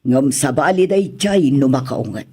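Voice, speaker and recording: A man's voice: mid-range voice, animated, a little slow, darkish tone, husky. A man with an Ilocano accent playing an aggrieved older parent, earnestly pleading for a wronged son in a radio drama. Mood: aggrieved, worried.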